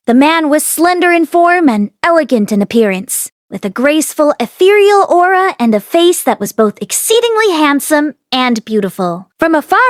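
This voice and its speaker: A woman reading a fantasy novel aloud as an audiobook narrator, in expressive scripted storytelling. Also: high voice, no room sound, studio quality. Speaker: audiobook reader and read-along host.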